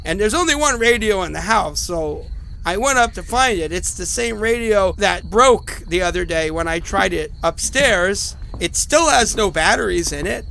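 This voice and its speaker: A man's voice: high voice, expressive, normal speed, creaky, sharp tone, a little hiss or hum, exaggerated voice, rough recording. An actor playing a sick, rattled, apologetic man who rambles hesitantly through phone messages in a horror audio drama. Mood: panicked disbelief.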